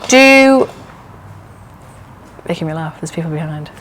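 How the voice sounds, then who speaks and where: A woman's voice: deep voice, lively intonation, unhurried, fairly bright tone, a little hiss or hum. Chatty, teasing Hull woman. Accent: Yorkshire (Hull). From Hull, England. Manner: chatty, teasing, lots of tag questions. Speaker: interviewer and business partner. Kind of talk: casual interview chat.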